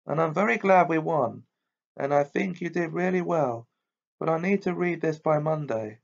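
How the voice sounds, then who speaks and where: Brief: fretful teenage schoolgirl as a male narrator puts her on, high and tense, muffled audio. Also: moderate pace, gravelly. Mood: strained. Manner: high-pitched, strained, slightly hysterical. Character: studious anxious teenage girl. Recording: muffled lo-fi recording.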